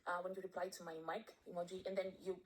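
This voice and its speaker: A woman's voice: fairly low pitch, flat delivery, quick pace, slight background noise. A woman from Greece with a Greek accent, leaving a hesitant, polite voice memo. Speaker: caller sending a voice memo.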